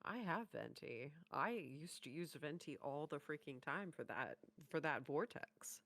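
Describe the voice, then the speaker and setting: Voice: medium pitch, unhurried, dry recording. Gushing reaction co-host, dry close sound. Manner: gushing, expressive fan talk. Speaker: video co-host reacting and ranking. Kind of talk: casual reaction chat.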